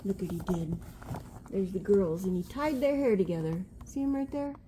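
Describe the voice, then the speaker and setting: A woman's voice: mid-range voice, normal speed, mellow, a little hiss or hum, poor audio. An older woman, a grandmother reading a storybook aloud to a child in a homely, chatty way. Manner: homely, chatty, pointing things out.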